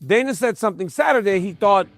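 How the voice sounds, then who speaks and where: High-pitched male interview host. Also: animated, fairly bright tone, no room sound, soft background music. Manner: conversational, quick follow-up prompts. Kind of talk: casual interview questions.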